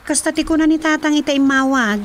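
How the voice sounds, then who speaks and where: Woman acting a high-pitched fretful Ilocano wife. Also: steady tone, fast, slight background noise, close to an everyday voice. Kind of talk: Ilocano radio comedy drama acting.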